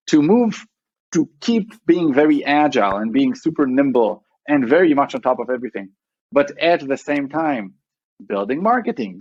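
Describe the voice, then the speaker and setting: A man's voice: medium pitch, a little mellow, no room sound, highs cut off. A man with an Israeli accent, a startup CEO being interviewed, who rambles with many fillers and restarts. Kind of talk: conversational podcast interview.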